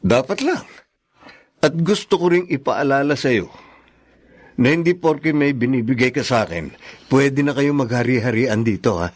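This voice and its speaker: A man's voice: medium pitch, lively intonation, moderate pace, long pauses, close to an everyday voice. A man playing a domineering, grudging Filipino husband with a curt manner in an audio drama. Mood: grudging, stern. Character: bossy, grudging adult husband lording over in-laws. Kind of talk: Filipino radio-style drama acting.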